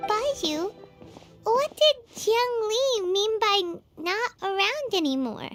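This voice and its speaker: A woman's voice: very high voice, lively intonation, slow, a bit bright, faint music behind, full character voice. A woman voicing a small, blunt, worried creature companion in a video game, frank and scolding. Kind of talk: video game character acting.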